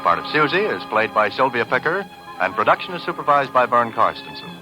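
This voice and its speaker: A man's voice: medium pitch, big pitch swings, a little fast, over music, rough recording. An American man reading credits in old-time radio announcer style. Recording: old radio broadcast recording.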